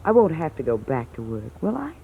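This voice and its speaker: An actress playing a cool, mocking, greedy blackmailer in a vintage radio drama, insinuating and controlling. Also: female voice, rumbling low, sing-song, fast, vocal fry, husky, exaggerated voice. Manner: taunting, insinuating. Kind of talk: 1940s-50s radio drama acting. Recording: tinny old radio recording.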